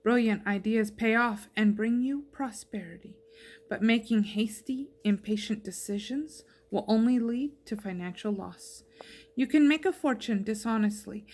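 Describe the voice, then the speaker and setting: A woman with a fairly high voice reads scripture aloud. She phrases each verse plainly and clearly, as in an informal devotional reading. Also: unhurried, darkish tone. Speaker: scripture reader.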